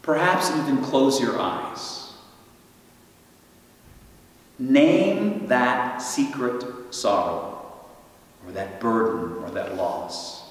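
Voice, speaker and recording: Slow, reflective priest in an echoing church. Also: male voice, mid-range voice. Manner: slow, reflective and guiding, with long pauses. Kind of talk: Good Friday homily. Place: large church sanctuary. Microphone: stand mic some way off, filmed by a far camera. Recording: roomy church reverb, voice from a distance.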